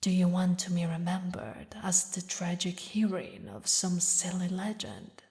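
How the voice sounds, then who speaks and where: A woman voicing a haughty, mocking demon queen who mourns a lost lover, speaking in slow, theatrical, regal phrases for an audio roleplay. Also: fairly low pitch, vocal fry, a little mellow. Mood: suspicious, haughty. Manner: slow, taunting, regal, with dramatic pauses. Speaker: villainous ruler addressing a knight.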